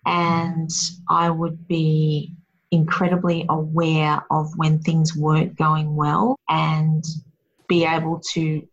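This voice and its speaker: A woman's voice: deep voice, slightly rough, dull audio. A woman hosting a casual chat, talking in a reflective, encouraging way.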